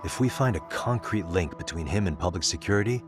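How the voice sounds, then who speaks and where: A man playing a cool, focused detective who reasons in a measured way in an English game dub. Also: low-pitched, lively intonation. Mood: determined. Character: cool, analytical male detective, focused and composed. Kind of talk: video game cutscene dialogue. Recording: music behind the voice.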